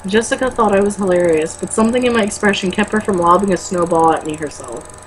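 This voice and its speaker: A woman's voice: mid-range voice, fast talker, a little hiss or hum, rough recording. A young woman reading a book aloud casually, with sarcastic, exasperated, foul-mouthed commentary. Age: young adult.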